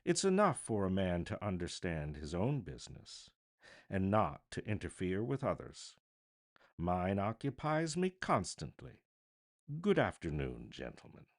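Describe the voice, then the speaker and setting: A man's voice: deep voice, huge pitch swings, a little slow, vocal fry, full character voice. A man voicing a cold, miserly, scornful old businessman from a storybook, with curt, contemptuous, emphatic delivery. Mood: dismissive. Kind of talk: storybook character reading.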